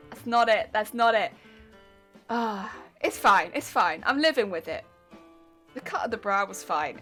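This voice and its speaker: A woman's voice: fairly high pitch, fairly bright tone. A young woman with an English accent, chatting casually on a live stream as she answers chat questions and critiques her own sewing. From England.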